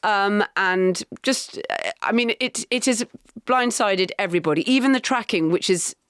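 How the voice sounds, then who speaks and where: A middle-aged woman with an English accent, co-hosting a podcast, speaking in an animated, wry way with halting restarts. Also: mid-range voice, clean tone, no echo, studio quality. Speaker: podcast co-host. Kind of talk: casual chat.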